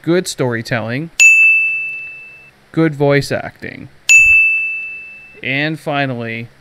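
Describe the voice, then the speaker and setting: A man's voice: medium pitch, takes it slowly, heavy background noise. A man hosting a podcast game review, giving commentary that moves between prepared narration and loose opinion. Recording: music playing behind the voice. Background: music.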